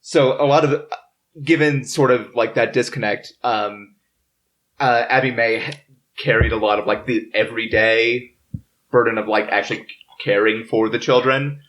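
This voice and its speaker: A podcast host talking casually through history research in a halting, hesitant way. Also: medium pitch, steady tone, a bit bright, highs cut off. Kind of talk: casual history discussion among friends. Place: in person around a table.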